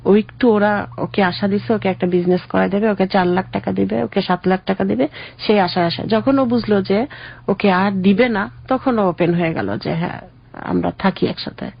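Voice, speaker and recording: A middle-aged woman with a Bangladeshi accent and a husky, creaky voice, telling her personal story as an interview guest. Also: mid-range voice, moderate pace, dull audio.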